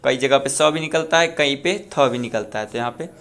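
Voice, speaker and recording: A young man from Bihar, India, teaching a pronunciation lesson and repeating each word slowly for practice. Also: mid-range voice, fairly bright tone. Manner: slow, repeats words drill-style. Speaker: language teacher.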